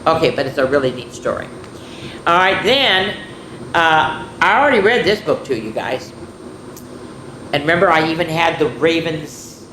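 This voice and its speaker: Lady doing kids story time, rambly and playful, chats to the viewers, roomy echo and some clear noise behind her. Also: deep voice. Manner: chatty, rambling asides addressed to viewers. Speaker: children's story time host. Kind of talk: informal picture-book read-aloud and show-and-tell to children. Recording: echoey room with clear background noise.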